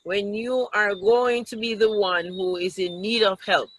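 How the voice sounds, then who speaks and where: A woman's voice: medium pitch, fairly even intonation, measured pace, fairly bright tone, poor audio. A woman talking to children as a storytime teacher, in an affirming, reflective way. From Jamaica. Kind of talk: live talk to children.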